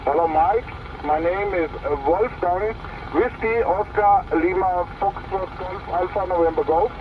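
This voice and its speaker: A man's voice: sits high, background noise. A man speaking English as a CB radio operator, hesitant and friendly, heard over a tinny radio link. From Germany. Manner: hesitant, friendly, many pauses. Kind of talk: radio contact exchange.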